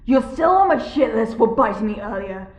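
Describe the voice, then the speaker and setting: Audio drama role: actress as an impatient female guard, barking and curt, fairly high voice in an echoey space. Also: animated, normal speed, soft background music. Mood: exasperated. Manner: curt, hostile, loud.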